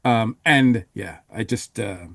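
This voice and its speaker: Guy on a review podcast, chatting casually, kinda halting, very dry sound. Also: on the low side, vocal fry, no echo. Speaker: podcast panelist.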